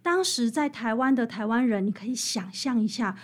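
A woman guest with a fairly high voice and a Taiwanese Mandarin accent talks in an interview. She explains things conversationally and with animation, building her account through vivid examples. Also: expressive, normal speed. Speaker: interview guest, author and researcher of old songs.

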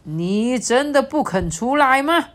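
A woman voicing a stern, commanding heavenly king who speaks slowly and emphatically. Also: mid-range voice, animated. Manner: slow and emphatic.

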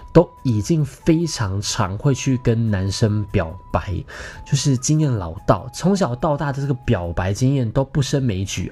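Male podcast host, thirties, Taiwanese Mandarin accent, mid-pitched and very animated, playful casual storyteller, light music underneath. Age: 30s. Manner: animated, playful, self-mocking humour.

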